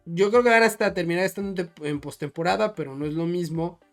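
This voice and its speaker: A man's voice: sits high, fast. Man talking sports over music. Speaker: sports podcast co-host. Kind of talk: casual football discussion.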